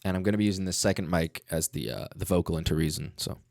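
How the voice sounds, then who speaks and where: Low-voiced laid-back man teaching on a livestream. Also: fast, vocal fry, darkish tone, no room sound, studio quality. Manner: laid-back, slangy, informal. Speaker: music producer, livestream host. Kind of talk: casual livestream tutorial. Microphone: studio microphone.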